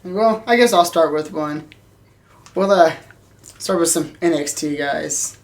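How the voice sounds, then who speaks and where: A woman's voice: sits low, a little slow. A woman co-hosting a podcast in a casual, conversational way. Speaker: podcast co-host.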